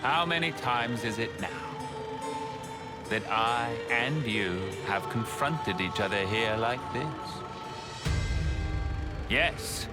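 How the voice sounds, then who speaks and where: A man playing a slow, grandiose villain. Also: mid-range voice, natural voice. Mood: mocking, grandiose. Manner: measured, grandiose, condescending. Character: solemn godlike male villain, pompous and philosophical. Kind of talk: theatrical game cutscene acting. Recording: loud orchestral music behind.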